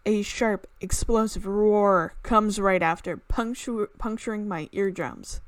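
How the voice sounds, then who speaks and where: A woman hosting a gaming video, reading a visual novel aloud in an expressive way with exclaimed reactions. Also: medium pitch, unhurried, dry recording.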